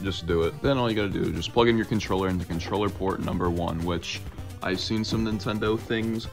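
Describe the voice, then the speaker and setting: Young male tutorial host, raspy and low-pitched, blunt and laid-back, with music playing behind him. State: just woken up. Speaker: retro gaming YouTuber. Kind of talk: casual how-to tutorial.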